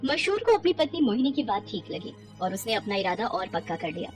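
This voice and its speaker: A woman giving expressive scripted narration for a cartoon moral story. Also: medium pitch, brisk, over music.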